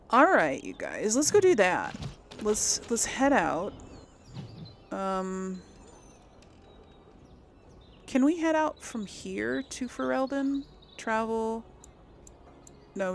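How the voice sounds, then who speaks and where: A woman hosts a video game let's play in an everyday mid-pitched voice with a creaky edge. She talks slowly and casually, thinking out loud to her audience. Faint noise and game ambience sit behind her. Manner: chatty, thinking aloud to the audience. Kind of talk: casual gameplay commentary.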